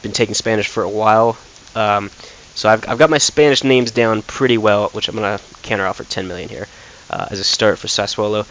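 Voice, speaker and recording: A man's voice: fairly low pitch, fairly quick, fairly bright tone, poor audio. Young man rambling over a football game. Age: young adult. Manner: rambling, thinks aloud with many fillers. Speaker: gaming commentator. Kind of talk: casual gameplay commentary.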